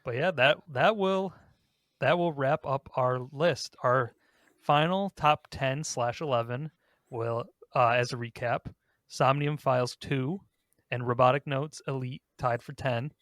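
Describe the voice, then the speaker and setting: A man's voice: mid-range voice, a little slow, fairly bright tone, dry recording, studio quality. A man hosting a casual podcast, chatting conversationally about games. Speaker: podcast host.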